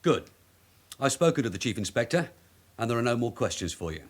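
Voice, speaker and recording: An actor playing a shrewd, middle-aged detective sergeant in a radio crime drama, brisk, persuasive and controlled. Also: male voice, mid-range voice, big pitch swings. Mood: smoothly casual. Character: shrewd veteran London detective sergeant, wily and insistent.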